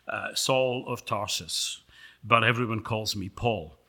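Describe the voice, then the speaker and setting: A man's voice: on the low side, slow, bright, forceful tone, heavily acted. A man playing a humble, resolute apostle who answers plainly and firmly in a sermon sketch. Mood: calm, firm.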